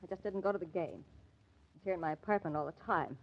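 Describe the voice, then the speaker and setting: A woman's voice: on the low side, quick pace. A woman acting a defensive, jittery suspect in an old-time radio crime drama, protesting as she talks. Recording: dull vintage radio recording.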